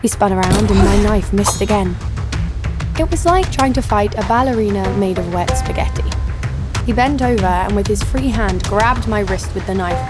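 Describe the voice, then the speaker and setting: A woman's voice: mid-range voice, normal speed, close to an everyday voice. A woman playing a tough, sardonic knife fighter who tells her own story in an audio drama. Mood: dry, tense. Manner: dry, sardonic. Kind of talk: scripted first-person audio drama narration. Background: music.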